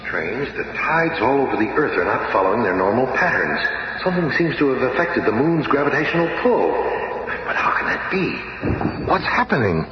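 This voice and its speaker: A man's voice: medium pitch, very animated, normal speed, muffled recording, natural voice. An actor playing an earnest, brainy male scientist hero in a 1970s radio drama. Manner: thoughtful, earnest. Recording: noisy, roomy 1970s radio recording.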